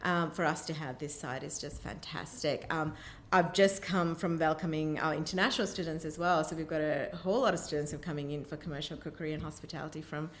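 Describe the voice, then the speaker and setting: A woman's voice: low-pitched, steady tone, quick pace. A woman answering interview questions about her work in an enthusiastic, emphatic way. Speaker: interview guest, vocational college representative. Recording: clear background noise, room ambience.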